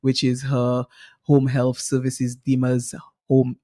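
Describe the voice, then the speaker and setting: A woman's voice: a bass voice, monotone, slow, dark tone, no echo, studio quality. A woman hosting a radio health talk show, speaking in a friendly, rambling way with restarts.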